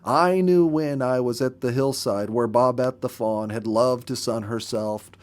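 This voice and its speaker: A man reading a story aloud as a storyteller, with expressive, unhurried phrasing and dramatic pauses. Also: mid-range voice. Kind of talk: expressive narrated audiobook reading.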